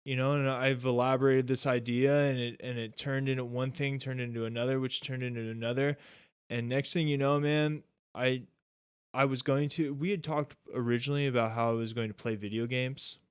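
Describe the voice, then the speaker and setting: A man's voice: medium pitch, flat delivery, a little fast, clean tone, no echo, studio quality. A man in his thirties hosting a podcast, chatting casually in a laid-back, rambling way that is full of "like" and "dude". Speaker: podcast host. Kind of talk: casual chat.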